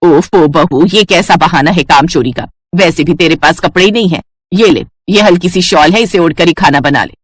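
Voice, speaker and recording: A woman's voice: lowish voice, moderate pace, breathy edge, no room sound. A woman voicing a stingy, bossy older mother-in-law with a nagging, sarcastic manner in a moral story. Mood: scolding.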